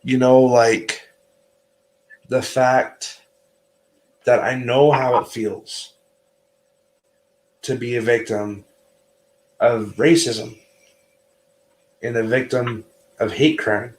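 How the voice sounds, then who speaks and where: A man's voice: mid-range voice, roomy sound, low-quality recording. A man hosting a casual, heated livestream chat, earnest and often stumbling over and repeating his words.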